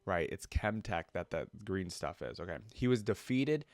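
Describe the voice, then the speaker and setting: Low-voiced man, casual reaction commentary. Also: lively intonation, no room sound. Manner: informal, pausing to explain and muse. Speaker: reaction video creator. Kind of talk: reading lore aloud with casual reaction commentary.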